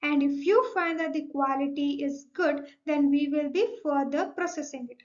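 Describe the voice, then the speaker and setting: A woman's voice: high voice, normal speed. A young woman with an Indian accent gives an explanatory slide presentation, methodically signposting each point. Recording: dull, lo-fi microphone sound.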